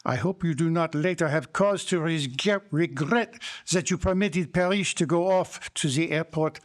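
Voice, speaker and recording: A man's voice: a little high, unhurried, lightly acted. A man playing a fussy, clever detective in a live mystery drama, formal and courteous in theatrical phrasing. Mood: courtly, grave. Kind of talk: live radio-style mystery drama acting.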